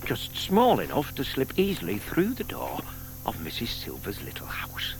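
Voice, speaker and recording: An actor playing a shy, lovestruck older British gentleman in an audio drama, polite, old-fashioned and eager. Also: medium pitch, huge pitch swings, normal speed, poor audio. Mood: muttering, focused.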